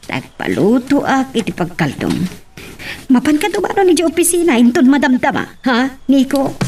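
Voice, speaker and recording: A woman's voice: sits high, big pitch swings, moderate pace, darkish tone, slight background noise. A woman playing a fussing, scolding middle-aged mother in an Ilocano radio drama. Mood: concerned.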